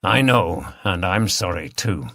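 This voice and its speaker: A man's voice: low-pitched, lively intonation, takes it slowly, creaky, pushed and bright, no echo, clean studio sound, full character voice. A man voicing a protective, contrite husband with an earnest, reassuring manner in an audiobook story. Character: protective, contrite husband who runs an inn.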